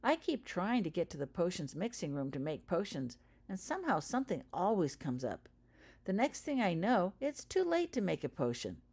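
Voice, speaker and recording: A woman's voice: on the low side, huge pitch swings, moderate pace, a little mellow, husky, heavily acted. A woman voicing an exasperated young schoolgirl with a complaining manner, in a storybook reading.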